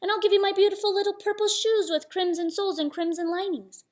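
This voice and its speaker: A woman's voice: very high-pitched, level tone, quick pace, a little mellow, voice pushed up. A woman voicing a small, polite, plucky little boy in a children's storybook, with a sing-song pleading manner.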